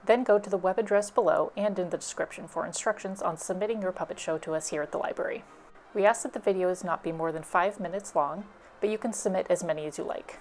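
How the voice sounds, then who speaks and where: A woman's voice: lowish voice, a little mellow. A woman library presenter giving scripted instructional narration, explaining things step by step.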